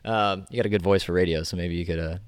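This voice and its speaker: Male host, very low voice, dry close sound, loose rambling conversational delivery. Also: steady tone, fast, smooth, dry recording. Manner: rambling, many restarts and fillers. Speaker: podcast host, gym owner. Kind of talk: casual interview chat.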